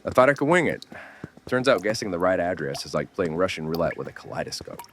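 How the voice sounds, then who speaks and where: A man playing a gruff, blunt, world-weary survivor mentoring a kid in a horror audio drama. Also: low voice, fast talker. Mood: wry, explaining. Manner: blunt, scolding, urgent.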